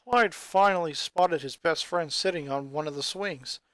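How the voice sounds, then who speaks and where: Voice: mid-range voice, moderate pace, a bit bright, no echo. A narrator reads a scripted story in a mid-range voice. The sound is very dry, with next to no room around it. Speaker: story reader. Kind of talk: scripted narration of fan fiction.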